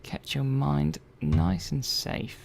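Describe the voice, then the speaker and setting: A man playing a curious, gently chatty robot repair technician in a soft-spoken ASMR roleplay, murmuring and thinking aloud in an unhurried, hesitant way. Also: medium pitch, very animated, gravelly, heavily acted. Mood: gently absorbed. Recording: faint background noise.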